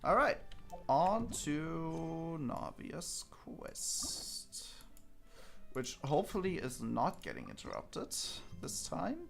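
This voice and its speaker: Gravelly-voiced man chatting over a game. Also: a little high, wildly swooping pitch. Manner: halting, thinking aloud. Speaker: streamer playing a game. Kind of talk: casual chat, game commentary. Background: game music.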